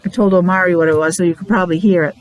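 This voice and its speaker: A woman's voice: fairly low pitch, steady tone, racing, lo-fi sound. An American woman teaching young children, talking in a sing-song way with simple repeated phrases and coaxing questions. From New England, USA. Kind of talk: interactive preschool lesson for young children.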